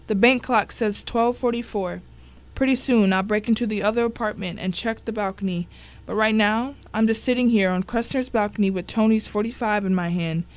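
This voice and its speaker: A grim, vengeful storyteller, voiced by a woman at a middling pitch. She narrates a crime thriller in the first person as a scripted dramatic reading. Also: lightly acted.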